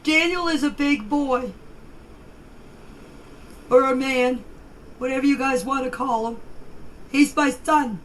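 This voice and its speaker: A mature woman with a high voice tells her side in an informal, halting way. She is plain-spoken and insistent, taking her time over the words. The amateur voice clip carries faint background noise. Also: steady tone, low-quality recording. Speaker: private person giving her account in voice clips. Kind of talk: informal spoken personal account.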